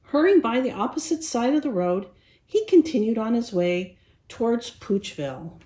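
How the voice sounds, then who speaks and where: A woman reading a children's story aloud as a storyteller, in an expressive narrating style. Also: fairly high pitch, normal speed. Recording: echoey, roomy sound.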